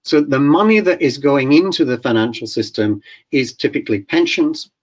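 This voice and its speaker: A man with a Scottish accent giving a webinar talk over slides, pausing often with fillers and restarts. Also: medium pitch. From Scotland. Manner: hesitant, many fillers and restarts. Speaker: webinar speaker. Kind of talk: presentation with slides. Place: video call webinar.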